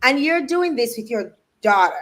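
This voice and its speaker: A woman's voice: fairly high pitch, big pitch swings, normal speed, a bit bright. A woman hosting a live interview, chatty and asking playful questions. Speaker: host.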